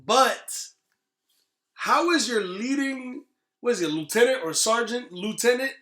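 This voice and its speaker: A man's voice: very high voice, lively intonation, measured pace, sharp tone. A man in his thirties chatting casually and opinionatedly as a podcast co-host, emphatic and repeating phrases for stress. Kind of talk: casual opinionated movie chat. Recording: roomy, echoey sound.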